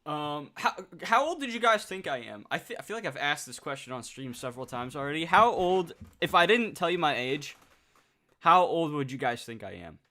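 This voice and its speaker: A nineteen-year-old man chatting casually on a livestream, reading out viewer comments, excitable and swearing freely. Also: fairly high pitch, moderate pace.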